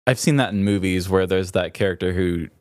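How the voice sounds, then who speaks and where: Low-voiced man chatting casually on a podcast. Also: a little fast, no room sound. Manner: informal, musing, slangy. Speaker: podcast co-host. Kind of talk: casual, conversational commentary.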